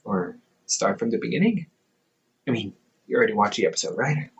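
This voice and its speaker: Audio roleplay: male actor as a young adult older brother and company therapist, teasing, quick to laugh, very lively pitch. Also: gravelly, dull audio, slight background noise.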